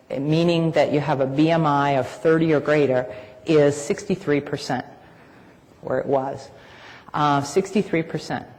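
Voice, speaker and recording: A man giving a conference panel talk about workplace safety and wellness, measured and explanatory, with frequent fillers. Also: fairly high pitch, darkish tone. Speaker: corporate safety and wellness leader. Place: large conference hall. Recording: long hall reverb.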